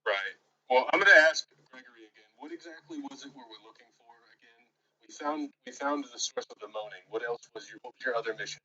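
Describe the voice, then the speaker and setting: A man's voice: medium pitch, quick pace, bright, forceful tone, lo-fi sound. A man playing a tabletop game in casual chat, asking questions, teasing and laughing often. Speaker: player.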